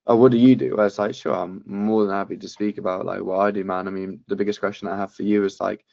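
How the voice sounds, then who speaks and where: A man's voice: lowish voice, level tone, quick pace, vocal fry, darkish tone, dry recording, dull audio. A man coaching a student on a sales call in casual, blunt talk, swearing often.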